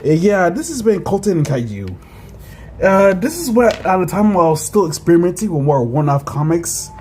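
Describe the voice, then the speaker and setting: A man's voice: high voice, sing-song, fairly quick, darkish tone, slight background noise. A man casually commenting on his own comics in a rambling, hesitant way. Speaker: comic creator and reader.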